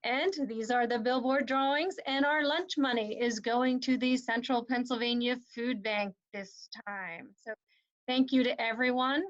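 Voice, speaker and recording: A woman runs the announcements of a virtual club meeting in a chatty way. Her voice sits a little high, and the call audio is dull and muffled. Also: fairly even intonation, unhurried. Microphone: video call.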